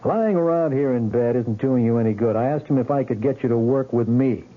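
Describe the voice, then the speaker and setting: A tinny, band-limited old radio recording carries an actor as a police lieutenant. He is a blunt, insistent man with a medium-pitched voice who questions in a pressing, matter-of-fact way, in 1970s radio drama style. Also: fairly quick, close to an everyday voice. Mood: firm, insistent.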